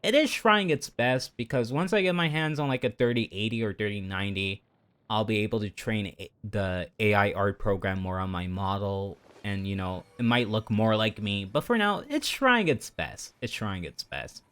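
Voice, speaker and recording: A man's voice: on the low side, lively intonation, moderate pace, a bit bright, clear, resonant tone. A man livestreaming in a casual chat over a game, rambling through his opinions and often repeating himself. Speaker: VTuber livestreamer.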